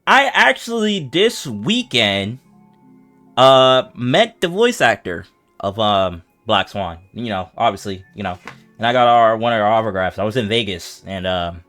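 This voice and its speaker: A man's voice: on the low side, very animated, a little slow, pushed and bright. Male game streamer, very varied intonation, informal rambling commentary, music faintly behind. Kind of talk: casual gameplay commentary.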